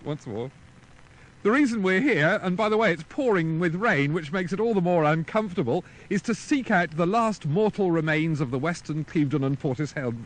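A man's voice: high voice, lively intonation, moderate pace, slight background noise. A man presenting on location outdoors in a broadcast style. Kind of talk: outdoor piece to microphone, repeated takes. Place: outdoors in rain under umbrella.

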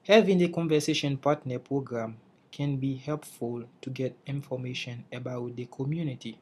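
A man's voice: mid-range voice, moderate pace, darkish tone, slightly rough. A young man from Haiti, an English learner, giving a careful class presentation over slides and restarting phrases as he searches for words. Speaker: English language student presenting.

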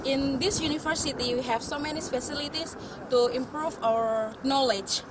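A woman's voice: high voice, moderate pace. A young woman student speaking briefly to camera outdoors in a friendly, simple way. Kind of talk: short on-camera greeting. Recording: distant camera mic, outdoor noise.

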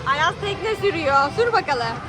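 A woman's voice: high voice, lively intonation, moderate pace. A woman narrating a family vlog for children, calling out sights in short, exclaiming phrases to a child and viewers. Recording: loud background music and crowd outdoors. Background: music and crowd noise at an amusement boat ride.

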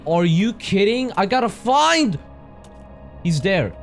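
A young man commentates a horror game into a headset mic, his voice high and swinging wildly between yelps and mutters. He gasps and shouts at every scare, and his throat has gone hoarse from it. Faint music runs under his voice. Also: very animated, slow. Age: young adult. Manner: jumpy, yelling and gasping at scares. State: hoarse, voice strained from screaming. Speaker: gaming streamer. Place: small room indoors.

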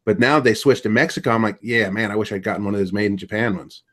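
A man's voice: on the low side, fast talker, fairly bright tone. Middle-aged man hosting a chatty call. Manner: chatty, trailing off with ums, joking. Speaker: podcast host. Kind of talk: casual chat. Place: small home room, indoors. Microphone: webcam on a video call.

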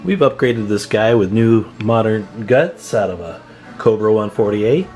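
A man giving a casual, informal hands-on demonstration as a hobbyist, unhurried, recorded on a handheld camera in a room at home. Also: lowish voice, darkish tone, faint music behind, low-quality recording. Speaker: CB radio hobbyist showing his equipment. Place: indoor room at home with radio gear. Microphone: handheld camera microphone, speaker off screen.

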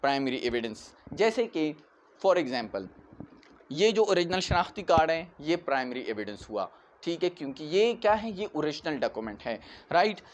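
A man's voice: fairly high pitch, sing-song, normal speed. Young Pakistani man lecturing, noisy recording. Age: 20s. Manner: didactic, repeats key points, checks with "right" and "okay". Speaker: law lecturer. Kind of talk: explanatory classroom-style lecture. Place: small indoor room in front of a whiteboard. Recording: clearly noisy amateur room recording.

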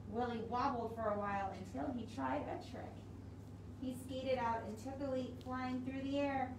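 A woman with a high voice talks to young children as a storytime librarian. She keeps her words simple and encouraging. She sounds a little way from the mic in an echoey room, with clear noise in the recording. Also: fairly even intonation, moderate pace. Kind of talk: read-aloud and instructions for young children. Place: library room with wooden walls and windows, indoors. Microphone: camera a few feet away, speaking through cloth mask. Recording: roomy, distant mic with strong noise.

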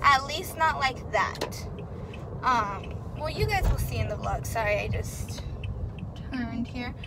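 A young American woman in her twenties chatting casually as a vlogger, recorded on a dashboard camera while driving. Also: slow. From Tucson, Arizona, USA. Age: 20s. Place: inside a car while driving. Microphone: camera mounted on dashboard. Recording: noisy car interior with road rumble. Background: loud road and car noise.

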